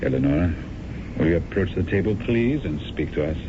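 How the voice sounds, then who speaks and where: A man's voice: low-pitched, animated, a little fast. An actor playing a charismatic male leader of a secret society, formal and commanding, in a 1970s radio drama. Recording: muffled old radio broadcast with hiss.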